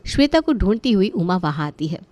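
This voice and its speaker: A woman's voice: lowish voice, big pitch swings, brisk, a little mellow, no echo. A woman narrating a scripted family story as a storyteller.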